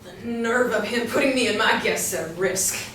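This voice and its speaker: A woman's voice: on the high side, moderate pace, a bit bright, natural voice. A woman playing a vain, hot-tempered rich hostess, emphatic and indignant, in a stage play reading. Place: small indoor room, seated with scripts. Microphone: distant camera. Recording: echoey room, distant mic, faint noise.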